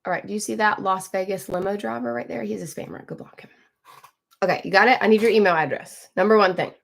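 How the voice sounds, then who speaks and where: A woman in her forties hosting a casual live stream from home, chatting with viewers, greeting them by name and often clearing her throat. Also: mid-range voice, fast. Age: 40s. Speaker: livestream host.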